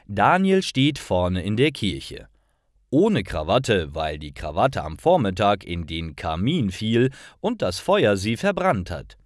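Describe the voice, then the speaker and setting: A man reading stories for language learners in a careful, clearly articulated and expressive way. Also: on the low side, dry recording, clean studio sound. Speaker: narrator of stories for German learners.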